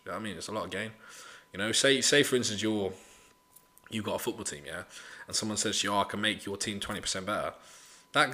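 Man, twenties, podcast host, lowish pitch, bright edgy tone, blunt, swears a lot, motivational. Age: 20s. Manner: blunt, swears often, motivational, lots of "you know". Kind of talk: casual solo podcast monologue.

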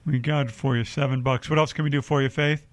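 A man's voice: medium pitch, steady tone, fast talker, no echo. A man hosting a live radio shopping show, reading deals and taking calls, with lots of uh fillers. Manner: chatty, many uh fillers. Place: radio studio.

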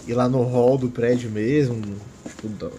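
A man's voice: mid-range voice, fairly even intonation, normal speed, warm tone, a little hiss or hum. A man with a Brazilian accent playing a tabletop RPG, improvising casually in colloquial, repetitive phrases. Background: faint music.

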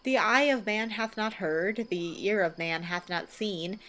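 A woman's voice: medium pitch, unhurried, a bit bright, lightly acted, poor audio. A woman playing a boastful, exuberant working man and amateur actor, declaiming theatrically in a Shakespearean radio play. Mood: awed, musing.

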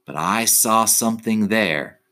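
A man's voice: on the low side, a little slow, sharp tone. A man voicing a polite, wistful old alley cat. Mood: reminiscing.

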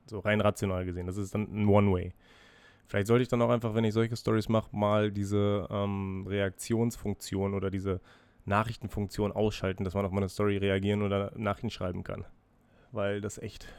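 Ein erkälteter Mann spricht frei und nachdenklich in seinem Podcast, reflektiert über sich selbst, mit Zögern und Füllwörtern. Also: male voice, lowish voice, creaky, darkish tone, no room sound. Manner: freely spoken, musing, self-reflective with hesitations. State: has a cold, breathing heavily. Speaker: podcast host. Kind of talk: casual solo podcast monologue.

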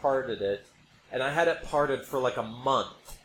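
Man, mid-pitched voice, casual opinionated explainer, emphatic podcast host, echoey recording, faint noise. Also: a little slow, a bit bright. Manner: emphatic, repeats points for effect. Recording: roomy echo, background noise.

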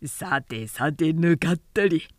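A cunning, proud warlord of an old historical tale, acted out by a woman narrator. The speech is archaic and commanding, declaimed very slowly. The sound is dry, as in a storybook reading. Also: medium pitch, lively intonation, dry recording. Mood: mocking. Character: Cao Cao, proud cunning warlord, man, mocking.

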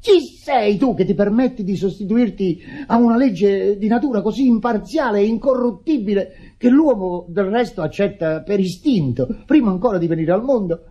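An actor playing an indignant, self-pitying widower in a radio drama, declamatory and stammering in agitation. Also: male voice, high voice, moderate pace, hardly any pauses, warm tone. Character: indignant grieving widower, theatrical, self-pitying, berating his sister. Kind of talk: Italian radio drama acting. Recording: dull lo-fi old radio recording.

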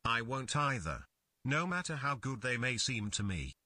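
Man acting bright, animated, thoughtful male thinker. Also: medium pitch, moderate pace, no echo, clean studio sound, full character voice. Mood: realizing. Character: Joseph, thoughtful, inquisitive man reasoning to himself. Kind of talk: audio fiction character dialogue.

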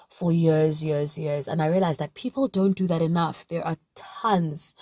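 A woman hosting a solo wellness podcast in an earnest, reflective way. Her voice is deeper than usual because she has just woken up, and she stretches words out for emphasis. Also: darkish tone, husky, no room sound. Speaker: podcast host.

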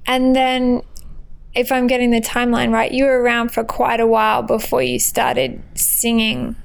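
A woman interviewing a guest, offering short affirmations and gentle questions. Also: fairly high pitch, flat delivery, moderate pace, creaky, smooth. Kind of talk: casual interview.

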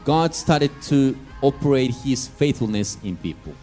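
A man's voice: mid-range voice, lively intonation, takes it slowly, dark tone, soft background music. A man interpreting a pastor's sermon into English live, sometimes stumbling and correcting himself. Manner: restarts and self-corrects while interpreting. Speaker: church interpreter. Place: church.

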